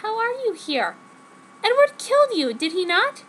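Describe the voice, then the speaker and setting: A woman voicing an overwrought, pious young heroine in a fanfiction story, with shrill exclamations. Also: very animated, normal speed, fairly bright tone, slight background noise, exaggerated voice, poor audio. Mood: shocked.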